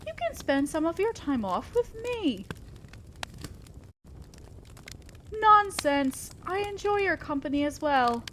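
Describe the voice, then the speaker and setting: A woman's voice: high voice, sing-song, fairly bright tone, a little hiss or hum, exaggerated voice. A woman playing an imperious, old-fashioned female demon lord in an audio roleplay, speaking formally and with condescending concern to the listener. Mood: pleased, insistent. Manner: commanding, formal, measured, condescending yet concerned. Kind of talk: scripted roleplay monologue addressed to the listener.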